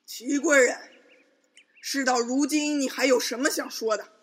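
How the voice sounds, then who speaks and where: A woman's voice: high-pitched, fairly even intonation, a little slow, husky. A woman playing a stern, imperious male emperor in a Chinese palace radio drama, commanding and clipped. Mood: angry.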